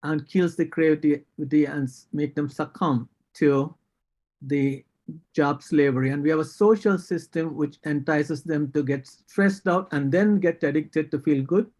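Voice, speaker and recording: A man's voice: fairly high pitch, moderate pace, a little mellow. A man with an Indian accent, speaking as a doctor on a panel discussion, earnest and critical, with frequent hesitations. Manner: earnest, critical, with many fillers. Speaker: psychiatrist panelist.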